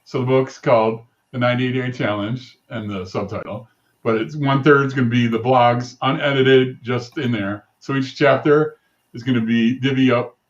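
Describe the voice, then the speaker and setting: A man's voice: medium pitch, steady tone, normal speed. Chatty male host on dull audio. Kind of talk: casual interview chat.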